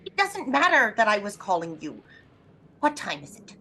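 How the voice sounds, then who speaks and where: An actress playing an anxious, imperious invalid wife in a throwback radio thriller, agitated, demanding and melodramatic. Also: female voice, mid-range voice, wildly swooping pitch, normal speed, pushed and bright, breathy edge, heavily acted. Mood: exasperated, frantic. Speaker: lead actress in an audio drama.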